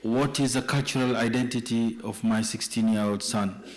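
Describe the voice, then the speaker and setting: A man's voice: mid-range voice, steady tone, normal speed, a crowd in the background. A middle-aged man from Kenya, speaking as a respondent at an evening panel discussion in a joking, conversational way. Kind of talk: public talk at an evening panel.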